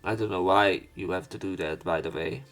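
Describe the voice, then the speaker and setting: A man's voice: low voice, a little fast, a bit bright. Casual man narrating over music. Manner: casual, sweary interjections between read lines. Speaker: let's play host. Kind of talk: reading visual novel text aloud with casual commentary.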